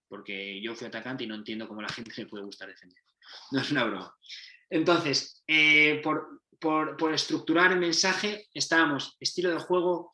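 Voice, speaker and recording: A man's voice: on the high side, bright, forceful tone. A man, a football coach giving a methodology talk, explaining step by step and often correcting himself mid-sentence. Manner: explanatory, restarts and self-corrections. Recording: roomy echo.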